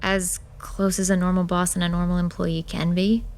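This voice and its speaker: A woman's voice: fairly low pitch, little pitch movement, moderate pace, gravelly, a little mellow. Sardonic young woman mortician. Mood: guarded, deadpan. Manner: dry, sarcastic, blunt, swearing. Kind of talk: audio drama acting with confiding asides.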